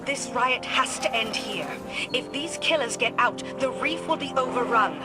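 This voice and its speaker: An actress voicing a brisk, resolute female field commander in a video game, businesslike and commanding. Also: fairly high pitch, expressive, over loud music, lightly acted. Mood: urgent, resolute.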